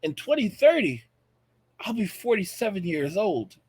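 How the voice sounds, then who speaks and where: An American man in his late thirties with a noticeably high-pitched voice. He talks like a video channel host, giving opinionated commentary straight to his viewers. His delivery is emphatic and repetitive for effect, in a dry, close recording. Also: big pitch swings, unhurried, a little mellow, slightly rough, no echo. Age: late 30s. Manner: emphatic, repeats phrases for effect.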